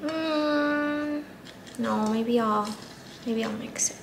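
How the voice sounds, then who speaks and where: A woman's voice: sits high, very slow, background noise. A young woman with a stuffy, nasal, partly lost voice chatting casually while repotting plants, trailing into murmured asides. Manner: chatty, self-doubting, many fillers and murmured asides. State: congested, nasal, voice partly gone. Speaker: plant vlogger. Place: indoors at a table.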